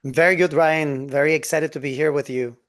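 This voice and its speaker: A man's voice: fairly high pitch, steady tone, a little fast, a bit bright. A man, a guest on a business podcast, telling his story in an earnest, eager way over a video call. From Turkey. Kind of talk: interview storytelling.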